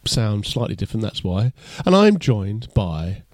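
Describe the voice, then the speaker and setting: A man's voice: on the low side, very animated, measured pace, a little mellow, dry recording, clean studio sound. An English man hosting a golf podcast, teasing his co-hosts in casual chat while getting over a cold. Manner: teasing, bantering. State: has a cold. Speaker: podcast host.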